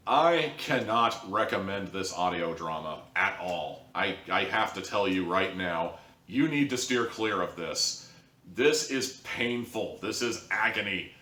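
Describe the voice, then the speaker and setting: A man's voice: low-pitched, sharp tone. A man hosting an opinionated review, speaking in an exasperated, emphatic way. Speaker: host, audio drama reviewer. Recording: echoey room, distant from mic.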